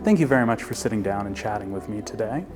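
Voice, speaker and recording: A man's voice: on the low side, animated, fast talker, music in the background. Earnest male interview host. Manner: earnest, formal introduction. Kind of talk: scripted intro then interview questions.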